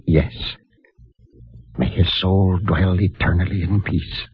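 An actor playing a gentle, solemn mission priest in 1950s radio drama, speaking in a hushed, pious and courtly way. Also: male voice, deep voice, fairly even intonation, slow pace, husky, dry recording, full character voice. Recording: dull old radio recording.

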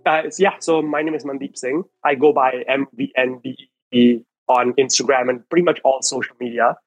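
A man's voice: mid-range voice, fairly even intonation, moderate pace, dry recording, low-quality recording. A young man from Malaysia, a podcast guest telling stories from his childhood in a loose, rambling way full of "like" and restarts. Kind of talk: casual storytelling interview. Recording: dry close mic, faint music behind.

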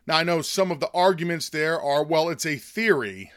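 A man from the United States, hoarse from a chest cold, giving a scornful, indignant opinion monologue as host. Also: fairly high pitch, normal speed, fairly bright tone, no room sound, studio quality. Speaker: political commentary host.

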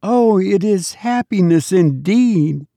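A man's voice: high voice, expressive, slow pace, vocal fry, warm tone, dry recording, pitched above the performer's own voice, exaggerated voice. A man putting on a sweet little boy spirit. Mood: tender pleading. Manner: tender, pleading. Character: gentle young boy spirit, sweet and loving. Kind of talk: storybook reading.

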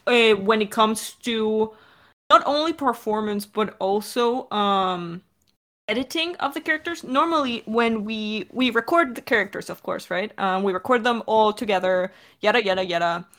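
A woman's voice: medium pitch, normal speed, fairly bright tone. A woman explaining her work as a director and editor in an informal, casual Q&A style. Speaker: audio drama director and editor. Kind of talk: casual Q&A discussion.

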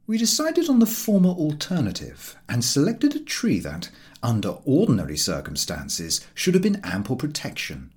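A man reading comic literature aloud in an expressive, theatrical storytelling manner. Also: lowish voice. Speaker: audiobook reader.

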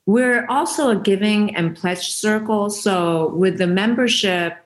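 A woman's voice: medium pitch, unhurried, poor audio. Earnest, reflective woman telling a story. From Iran. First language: Farsi. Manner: earnest, reflective, with frequent restarts. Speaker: interview guest, founder of a women's giving circle. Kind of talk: conversational interview storytelling.

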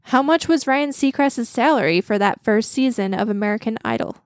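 A woman's voice: fairly high pitch, animated, brisk, a little mellow, dry recording, studio quality. A woman hosting a trivia segment on a casual podcast, playful and announcing. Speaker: trivia quiz host. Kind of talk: casual chat.

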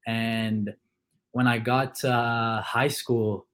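A young man from Kuwait, a college football player, answering interview questions in a relaxed way with many fillers. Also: mid-range voice, slow pace. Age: young adult.

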